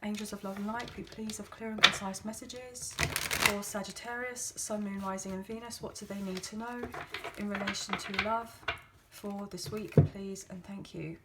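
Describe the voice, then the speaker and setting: A woman with a slightly croaky, hoarse voice, giving a casual tarot reading to her viewers in a chatty, gently encouraging way with frequent ums. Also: mid-range voice, fairly even intonation, moderate pace, very noisy. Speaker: tarot card reader.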